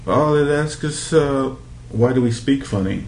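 A man's voice: medium pitch, a little slow, darkish tone. A middle-aged man from Hawaii being interviewed, reminiscing in casual conversation. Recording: echoey room with background noise.

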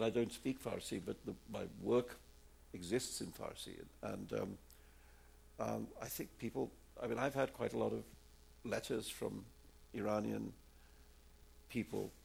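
Gravelly, husky older man. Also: on the low side, darkish tone. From India. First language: Urdu. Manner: rambling with many fillers and repeats. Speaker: author answering audience questions. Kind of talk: live audience Q&A.

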